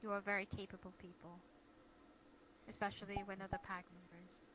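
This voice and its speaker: A woman's voice: mid-range voice, flat delivery, brisk, sharp tone, lightly acted. A woman playing a caring, cautious guild leader in an improvised fantasy role-play, speaking earnestly and hesitantly over online voice chat. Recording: noisy online voice-chat audio.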